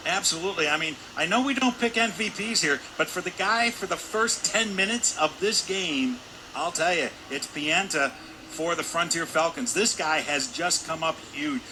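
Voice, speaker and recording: A man's voice: fairly high pitch, normal speed. A man giving loose, conversational color commentary on a hockey game. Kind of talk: conversational sports analysis. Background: noisy indoor ice rink.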